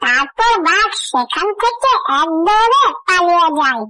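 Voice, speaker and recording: A woman's voice: extremely high pitch, very animated, unhurried, a crowd in the background. A woman reading a scripted fairy tale for children as the storyteller.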